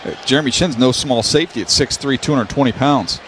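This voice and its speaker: A man's voice: medium pitch, quick pace, a bit bright. A man giving conversational color analysis on a live football radio broadcast, with informal, folksy phrasing. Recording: clearly noisy live broadcast ambience.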